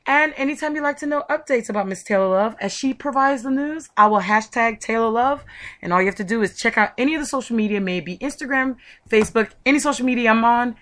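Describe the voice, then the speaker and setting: Female interview host, bright and punchy, effusive promotional chat. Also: a little high, fast. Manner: effusive, gushing. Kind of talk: chatty promotional interview hosting.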